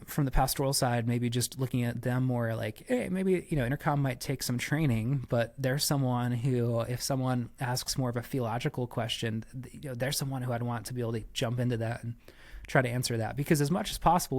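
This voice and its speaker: A man's voice: mid-range voice, a little mellow. A man giving conversational interview answers as a podcast guest, explaining things with frequent asides and qualifications. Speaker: church staff member, podcast guest.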